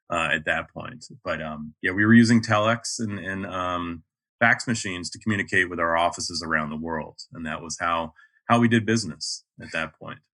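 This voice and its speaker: A man in his fifties from North Carolina with a neutral mid-Atlantic American accent, speaking as a podcast guest in a measured way, with frequent ums. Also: deep voice, bright, forceful tone. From North Carolina, USA. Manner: measured, many ums and uhs. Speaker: podcast guest, project manager. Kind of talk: casual interview talk.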